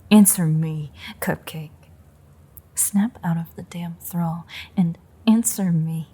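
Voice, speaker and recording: A woman voicing a bitter, threatening female vampire in an audio roleplay, sardonic and foul-mouthed, in a breathy, creaky murmur. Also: fairly low pitch, slow, mellow. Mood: angry, taunting. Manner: sardonic, profane, taunting. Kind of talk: intimate audio roleplay monologue.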